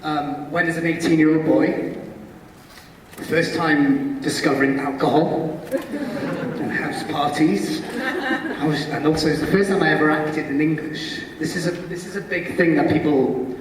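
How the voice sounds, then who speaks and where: A man's voice: a little high, measured pace, a crowd in the background. A Welsh man in his twenties, a native Welsh speaker, telling stories as a guest on stage with self-deprecating humor and frequent laughter. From Wales. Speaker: guest actor. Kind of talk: convention stage Q&A. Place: large convention hall stage. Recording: echoey hall from far off.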